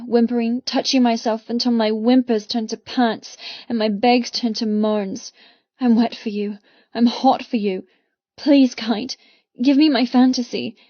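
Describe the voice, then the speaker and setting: A slightly high female voice , a narrator as a wry young heroine in a romance audiobook. She reads intimate messages in a measured way, voicing both sides. The recording is very dry and a little muffled. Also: no room sound, close to an everyday voice. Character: wry, yearning young woman narrating her text exchanges. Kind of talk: first-person audiobook narration, reading messages aloud.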